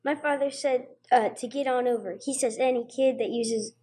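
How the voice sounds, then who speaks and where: Woman playing an earnest, high-voiced schoolboy. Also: steady tone, moderate pace, mellow, husky. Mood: earnest, unsure. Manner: hesitant, plain-spoken. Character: earnest, respectful schoolboy altar server. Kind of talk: 1950s radio drama acting, amateur church production.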